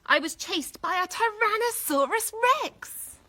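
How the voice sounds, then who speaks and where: A woman's voice: very high voice, expressive, unhurried, sharp tone, voice pushed up, full character voice. A woman voicing a small, friendly, anxious lost dinosaur with earnest, simple storybook lines.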